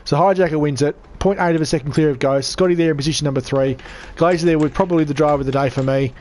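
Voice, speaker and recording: An upbeat man runs through the race results, his voice croaky and slightly higher than most. He chats easily with his audience. There is a faint noise under the recording. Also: very fast, darkish tone. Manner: upbeat, chatty, reads out chat. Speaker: race commentator. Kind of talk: live sim racing commentary.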